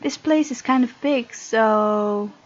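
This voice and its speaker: A woman's voice: fairly high pitch, takes it slowly. Chatty woman with a dull, lo-fi mic. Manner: chatty, giggly asides while reading aloud. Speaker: gaming video host. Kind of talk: playthrough commentary mixed with reading visual novel text aloud.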